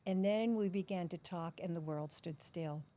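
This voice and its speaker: An older woman from the Netherlands, a podcast guest recounting memories in an unhurried, storytelling way. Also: lowish voice. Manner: warm, unhurried storytelling with soft laughs. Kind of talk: storytelling interview.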